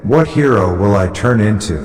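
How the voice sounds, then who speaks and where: A man's voice: fairly low pitch, animated, normal speed, darkish tone, in a large hall, soft background music, lightly acted. A man playing a kindly, heroic father lion in a children's toy story, speaking in simple phrases to young listeners. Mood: rousing, resolute. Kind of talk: children's bedtime story acted with toys.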